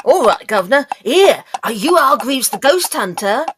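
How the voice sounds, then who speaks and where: A cheeky young newspaper seller in an audio drama, very high-pitched and hawking loudly. The calls swing up and down through a noisy, clicky recording. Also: female voice, very animated, normal speed. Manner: loud street hawker's calls.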